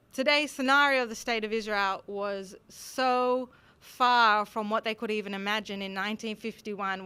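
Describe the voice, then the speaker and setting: An Australian woman gives a conference talk, laying out her points in a steady, explanatory manner. Her voice is bright and crisp, and it sounds smooth throughout. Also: medium pitch. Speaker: conference speaker.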